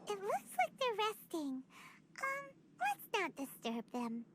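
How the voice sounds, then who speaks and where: A woman voicing a tiny, excitable female fairy companion who talks about herself in the third person, over game music. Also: very high-pitched, takes it slowly, noisy background, exaggerated voice. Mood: thoughtful.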